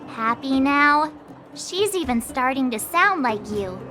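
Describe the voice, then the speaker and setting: Teenage girl adventurer, extremely high voice, bright and sharp, perky, chatty, expressive, video game acting. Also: takes it slowly, faint music behind, full character voice. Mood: chatty, concerned.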